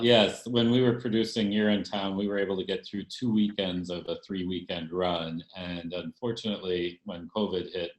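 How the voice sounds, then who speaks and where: Low-voiced man in a roomy space. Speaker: theater director, interview guest. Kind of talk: conversational interview answers. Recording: roomy echo, faint music.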